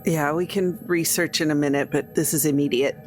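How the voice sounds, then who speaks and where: A woman's voice: low voice, steady tone, brisk, soft background music. A woman playing a tabletop role-playing game, talking casually with the group.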